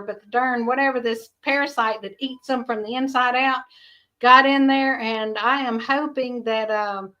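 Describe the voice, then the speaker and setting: Chatty high-voiced bright woman. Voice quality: high-pitched. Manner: rambling, chatty, laughs often. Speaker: homestead vlogger. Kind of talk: casual chat to viewers.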